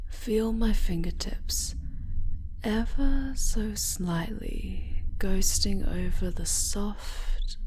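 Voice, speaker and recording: A woman voicing a sadistic, seductive dark elf noblewoman in an ASMR roleplay, mostly in a breathy, deliberate, imperious whisper close to the microphone. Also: lowish voice, slight background noise. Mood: seductive, coaxing. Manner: slow, deliberate, imperious and teasing.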